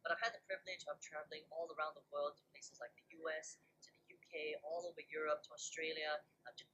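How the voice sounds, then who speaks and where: A man's voice: fairly high pitch, little pitch movement, fast talker, sharp tone, slight background noise. A man in his thirties from Malaysia introducing himself in an upbeat, scripted video. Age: 30s. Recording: muffled playback of a video with faint music behind.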